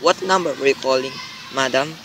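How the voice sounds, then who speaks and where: A man's voice: mid-range voice, fairly quick, a bit bright, natural voice. A man playing a polite, unflappable switchboard operator in an amateur radio play, speaking with formal routine courtesy. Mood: politely formal. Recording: faintly noisy amateur recording.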